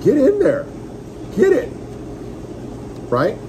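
Brief: man in his forties, upbeat radio-host energy, eating-and-talking vlog in a restaurant, steady room hum behind. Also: very high voice, slow pace. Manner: enthusiastic, joking, talks while eating. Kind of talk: casual restaurant review vlog. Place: small restaurant dining room, indoors. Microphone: phone camera on table.

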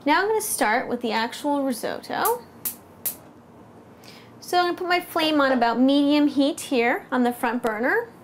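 An American woman in her thirties presents a recipe in a home kitchen. Her voice is high and moves melodically up and down as she explains each step. There is clear background noise behind her lapel-miked speech. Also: lively intonation, moderate pace. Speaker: cooking host, food blogger. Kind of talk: recipe demonstration to camera.

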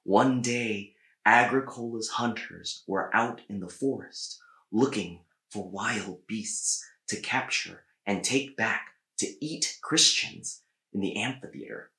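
A man in his thirties reading a children's story aloud with measured, deliberate phrasing and pauses. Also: lowish voice, pushed and bright. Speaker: storybook reader. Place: small indoor room with bookshelves. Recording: echoey room.

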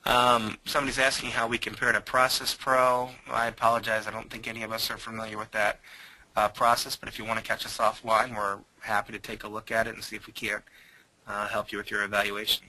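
A man presenting software in a webinar, answering audience questions with frequent hesitations. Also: on the low side, completely flat delivery, a little fast, poor audio. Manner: hesitant, many um fillers.

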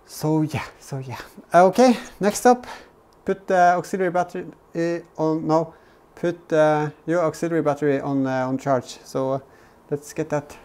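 A man's voice: fairly high pitch, normal speed, darkish tone, clean tone. A man explains things casually in a vlog, hesitantly and often repeating words. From Norway. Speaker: vlogger, DIY car channel host. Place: home garage workshop, indoors.

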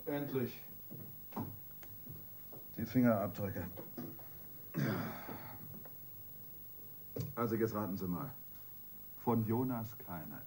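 An actor playing a dry, dismissive police inspector in a colloquial radio crime drama style. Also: male voice, fairly low pitch, a little mellow, a little hiss or hum. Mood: pleased. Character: gruff, sardonic male police inspector.

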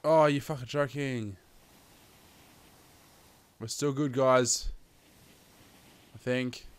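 An Australian man in his twenties hosts a gaming video with a middling-pitched voice. He chats casually to his viewers as he plays, swears freely and sounds slightly sniffly with hay fever. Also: fairly even intonation, very slow, clean tone. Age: 20s. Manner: sweary, chatty, addressing viewers as guys. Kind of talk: casual gameplay commentary.

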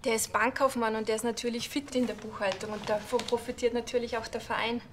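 A woman's voice: a little high, flat delivery, quick pace, slight background noise, natural voice. An actress playing an obliging, slightly flustered club chairwoman who explains eagerly and hesitantly in a TV crime comedy. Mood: explaining.

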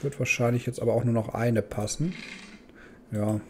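A man's voice: mid-range voice, fairly even intonation, normal speed, warm tone, a little hiss or hum. A man giving casual let's play commentary, thinking aloud and muttering questions to himself. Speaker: gaming host.

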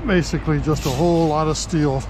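A middle-aged man talks through his day at a scrapyard in an easygoing, rambling way, stopping on fillers and fixing his own slips. His voice sits slightly higher than most men's. He is recorded on a camera worn on his body. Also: steady tone, brisk, darkish tone. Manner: easygoing, rambling, frequent uh fillers and self-corrections. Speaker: scrap metal vlogger. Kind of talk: casual first-person vlog narration. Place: outdoors at a scrapyard, then inside a car.

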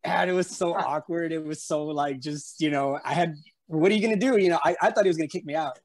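A man's voice: a little high, fast. Man with a San Antonio Spanish-tinged drawl. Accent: San Antonio Texan with a slight Spanish tinge. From San Antonio, Texas. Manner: rambling, full of fillers and restarts. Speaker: interview guest. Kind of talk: casual storytelling chat. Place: room at home. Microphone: webcam on a video call.

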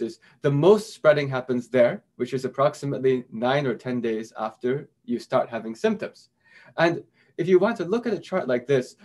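A man in his thirties giving an animated online keynote lecture over a video call, explaining step by step. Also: mid-range voice. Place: indoors in front of a projected slide screen.

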